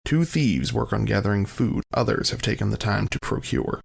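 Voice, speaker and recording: A man with a gravelly voice narrating a scripted audio drama. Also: on the low side, normal speed.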